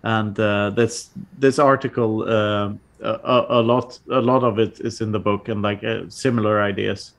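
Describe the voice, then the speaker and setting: Halting guest author, full of fillers. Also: male voice, on the low side, fairly even intonation. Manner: halting, many fillers and restarts, laughs at own jokes. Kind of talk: casual podcast chat.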